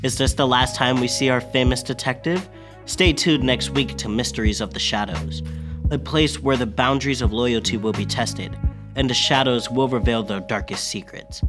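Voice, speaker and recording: A man's voice: medium pitch, normal speed. Dramatic male radio narrator over music. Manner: suspenseful, dramatic announcer delivery. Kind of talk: scripted old-time radio narration.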